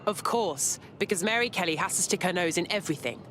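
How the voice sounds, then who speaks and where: Lively higher pitched woman an earnest resistance operative in a video game, bit of background noise. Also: quick pace. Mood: indignant. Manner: earnest, expressive. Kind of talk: video game cutscene acting.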